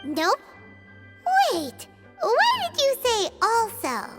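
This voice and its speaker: A woman voicing a tiny, childlike floating fairy companion in a video game, squeaky, cheeky and opinionated, exclaiming and explaining. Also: huge pitch swings, drawn-out words, loud music behind the voice, exaggerated voice. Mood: curious, dismissive. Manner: speaks of self in third person, exclamatory, explanatory. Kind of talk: video game character voice acting.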